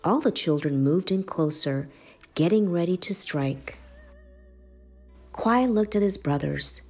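A woman with a lowish voice reads a children's storybook aloud. Her delivery is animated and swings widely in pitch, taken at an unhurried, measured pace. Music plays behind her. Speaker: children's book reader. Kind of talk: expressive storybook read-aloud. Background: background music.

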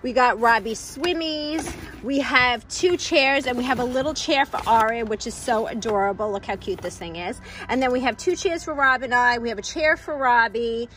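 A woman, chatting brightly to camera as a family vlogger, filmed on a handheld phone in her kitchen and car. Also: fairly high pitch, moderate pace, few pauses, a little hiss or hum, low-quality recording. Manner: bubbly, chatty, addresses viewers directly. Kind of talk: casual vlog chat to camera.

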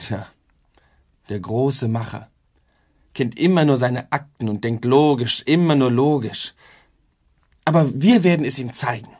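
A man's voice: mid-range voice, unhurried, long pauses, darkish tone, lightly acted. An actor playing an impassioned, idealistic younger man, pressing and informal, in a radio drama. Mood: indignant. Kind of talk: German radio drama acting.

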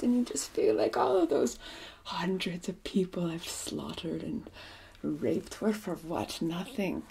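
A woman playing an ancient, haughty, aggrieved vampire in a comic ASMR roleplay, theatrical and breathy, often sinking into whispers. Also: mid-range voice, darkish tone, slight background noise. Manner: theatrical, indignant, grumbling. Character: ancient, haughty, aggrieved seven-hundred-year-old vampire.

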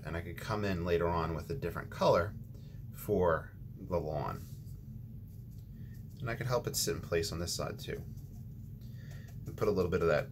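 A man's voice: low voice, a little hiss or hum. A man hosting a watercolor painting tutorial, talking casually as he paints with frequent ums and restarts. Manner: relaxed, thinking aloud with ums and restarts.

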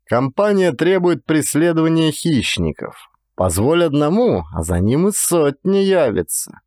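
A man acting an animated, folksy Cossack inspector. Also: on the high side, a little slow, no echo, clean studio sound, voice pushed up. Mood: grumbling, insistent. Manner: colloquial, emphatic storytelling. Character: gruff, talkative male Cossack gold-field inspector, folksy, stubborn. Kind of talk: dramatized audiobook character dialogue.

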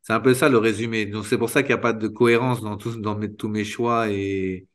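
Halting, low-voiced man on a video call. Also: steady tone. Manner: spontaneous, halting, many restarts and fillers. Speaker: retired footballer guest. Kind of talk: candid interview. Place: small room indoors. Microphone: video call webcam.

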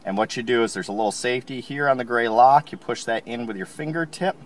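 A man calmly explaining, step by step, how to install a car part, recorded inside a car. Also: mid-range voice, fast. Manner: calm, plain instructional. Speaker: installation instructor.

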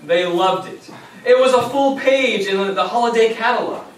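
A man's voice: sits high, normal speed, natural voice. A young man playing a dutiful, exasperated grandson in a live comic stage play. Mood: wry narrating. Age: young adult. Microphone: distant camera microphone in the audience. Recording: very echoey, distant, noisy stage recording.

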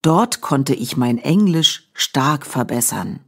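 Slow, low-voiced woman reading for learners. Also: expressive. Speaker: reader of a language-learning text.

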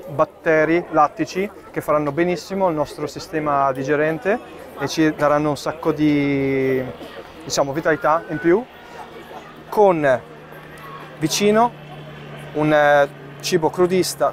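A man in his thirties demonstrates a dish at an outdoor stand in a park. His voice sits a little high, and he explains patiently, stopping for fillers as his hands keep busy. The sound comes from a camera set back from the table, open air around him. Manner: explanatory, thinking aloud with fillers while working. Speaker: chef. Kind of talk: live cooking demonstration. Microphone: far camera.

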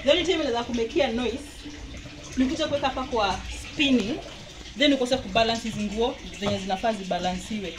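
A woman's voice: fairly high pitch, quick pace. A Kenyan woman filming a casual how-to on her phone in a small home laundry area, chatting and pointing things out. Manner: chatty, mixes Swahili and English, pointing things out. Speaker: vlogger. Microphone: handheld phone camera. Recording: noisy with running water.

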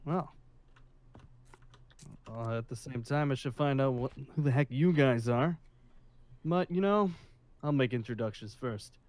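A man's voice: mid-range voice, unhurried, long pauses, a little mellow, close to an everyday voice. A man playing a smug, menacing colonel who leads a survivor haven, with a commanding, persuasive manner in improvised game roleplay. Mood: smug, calm.

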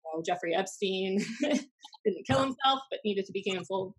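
An American woman in her thirties telling anecdotes casually, in a blunt, animated, sweary way, as a podcast host. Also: medium pitch, moderate pace, breathy edge, poor audio. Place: small room at home, indoors. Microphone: desk podcast microphone on video call.

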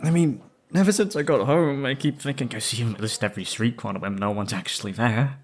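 A young man acting an anxious, wistful former captive in a scripted roleplay audio drama, speaking hesitantly and haltingly. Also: mid-range voice, close to an everyday voice. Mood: troubled, wistful.

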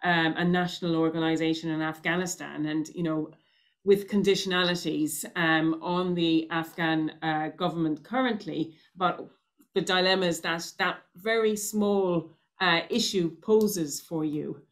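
Woman, thirties, panel moderator, hesitant with ums, lowish voice, video call. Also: steady tone, measured pace. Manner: hesitant, many ums and restarts. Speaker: host and moderator. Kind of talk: closing remarks of a panel.

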